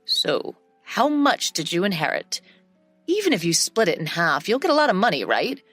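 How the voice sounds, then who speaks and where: A woman's voice: medium pitch, very animated, normal speed, a bit bright, no echo, faint music behind. A woman voicing a greedy adult husband who pushes and demands money, in a narrated story. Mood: wheedling. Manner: pushy, money-obsessed demands.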